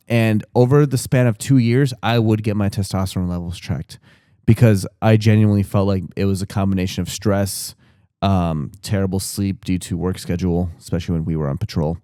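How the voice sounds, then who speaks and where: A man's voice: on the low side, warm tone, clean tone, no echo, clean studio sound. A man in his thirties talking casually on a podcast, candid and rambling, with restarts and casual swearing. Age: 30s. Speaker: podcast co-host.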